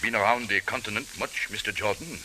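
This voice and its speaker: An actor playing a big, poised Boer wanderer with a polite, formal, probing manner in a 1940s radio drama. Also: male voice, on the low side, natural voice. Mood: suave, courteous. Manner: polite, formal, measured with hesitant uhs. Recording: hissy, bright 1940s radio recording.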